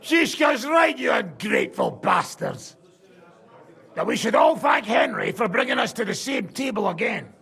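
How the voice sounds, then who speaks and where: An actor playing a gruff, sardonic mercenary leader with a raspy, gravelly voice in a video game. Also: male voice, very high voice, big pitch swings, moderate pace, a bit bright, shouting, heavily acted. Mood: commanding. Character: gruff, commanding, sardonic mercenary leader among hard drinkers. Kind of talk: video game cutscene acting.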